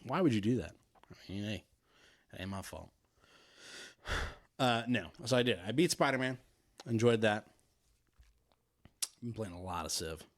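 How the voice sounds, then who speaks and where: Low-voiced joking podcast co-host. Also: male voice, animated, unhurried, pauses often, dry recording, clean studio sound. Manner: joking, profane, laughs often. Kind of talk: casual chat.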